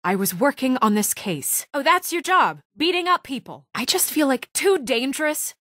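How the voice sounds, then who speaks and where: A woman playing a composed, determined, guarded young fairy-tale heroine in a video game, speaking in an earnest, firm, measured way. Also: high voice, sing-song, fairly bright tone, no echo, clean studio sound. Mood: frustrated, accusing. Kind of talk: naturalistic video game voice acting.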